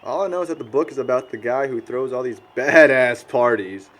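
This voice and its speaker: A man plays a young American slacker in a naturalistic indie drama. He is dim, crude and quick to get defensive, with a blunt delivery at an average pitch. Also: a bit bright, close to an everyday voice. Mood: confused. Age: young adult. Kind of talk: naturalistic amateur film dialogue.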